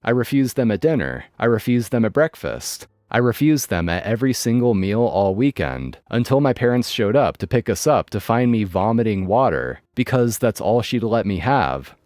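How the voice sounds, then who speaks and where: A man narrating online stories in a lively, dry storytelling manner. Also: lowish voice, normal speed, hardly any pauses, dry recording.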